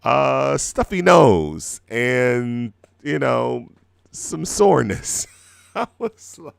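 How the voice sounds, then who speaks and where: A man's voice: medium pitch, big pitch swings, extremely slow, gravelly, clear, resonant tone, no room sound, clean studio sound. A middle-aged man hosting a music show in a rambling, laid-back chat, his throat scratchy from a cold. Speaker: radio show host. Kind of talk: casual live show chat.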